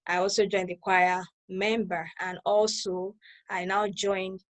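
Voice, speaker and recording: A woman's voice: medium pitch, level tone, measured pace. A woman from Lagos with a Nigerian accent, being interviewed over a video call and explaining things conversationally, circling back to clarify. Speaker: interviewee, new church member. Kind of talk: conversational personal account.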